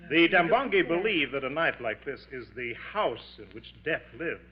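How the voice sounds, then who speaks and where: Actor as florid, sinister professor on muffled old radio. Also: male voice, a little high, expressive, normal speed, lightly acted. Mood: grandly ominous. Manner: formal, florid, showman-like. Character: smooth, theatrical male professor, slyly sinister lecturer. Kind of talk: 1950s radio mystery acting. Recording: muffled, hissy 1950s radio recording.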